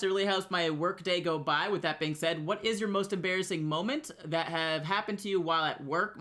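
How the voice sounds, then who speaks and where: Bright-voiced man co-hosting a podcast. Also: high-pitched. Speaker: podcast co-host. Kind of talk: casual chat, reading listener questions.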